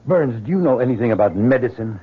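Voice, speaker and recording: An actor playing a fervent, haunted Southern soldier in an old-time radio drama, speaking in a halting, earnest way with a husky voice. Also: male voice, medium pitch, animated. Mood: confident, scheming. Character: fervent Southern soldier, haunted man sure of his miracle. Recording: dull lo-fi old radio recording.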